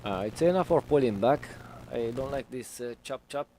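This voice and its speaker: A man with English as his third language, live-streaming his trading and talking through it slowly with many fillers at a home desk. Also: medium pitch, lively intonation, a little mellow, a little hiss or hum. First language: not English. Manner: slow, hesitant, many fillers.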